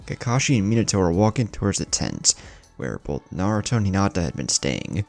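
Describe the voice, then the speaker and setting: Man to narrate fan fiction: deep, animated delivery over light background music. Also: brisk, creaky. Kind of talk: scripted story narration.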